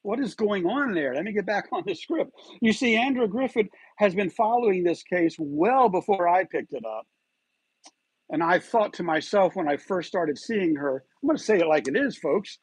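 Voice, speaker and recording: Husky, lively-voiced male commentary host. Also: high-pitched, moderate pace, no echo, rough recording. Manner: emphatic, rhetorical, keeps asking "you feel me there?". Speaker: YouTube channel host. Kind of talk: scripted commentary monologue.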